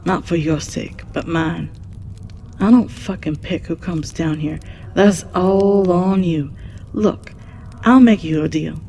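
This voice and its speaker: A woman's voice: on the low side, slow pace, vocal fry, dark tone, a little hiss or hum. A woman playing a jaded, mocking keeper of the underworld, brash, foul-mouthed and drawling, in an audio drama. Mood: scornful, exasperated. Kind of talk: scripted audio drama monologue.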